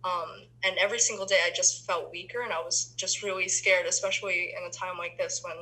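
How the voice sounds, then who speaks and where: Bright, husky-voiced young woman talking. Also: lowish voice, steady tone, fairly quick, poor audio. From Philippines. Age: young adult. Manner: chatty, many ums and restarts. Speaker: interviewee, university student.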